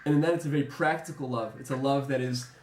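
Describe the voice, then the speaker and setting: A man's voice: mid-range voice, steady tone, darkish tone, a little hiss or hum. Reflective young man in a roomy space. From United States. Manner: measured, reflective, with frequent ums. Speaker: former soldier interviewee. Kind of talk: earnest interview testimony.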